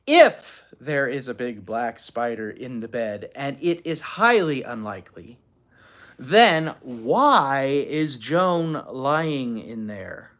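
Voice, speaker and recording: Female narrator as weary-of-nonsense dad in a children's tale, loud, dry, slow and lively in pitch. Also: exaggerated voice. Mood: exasperated, dry. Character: yawning, exasperated, dryly reasoning storybook father.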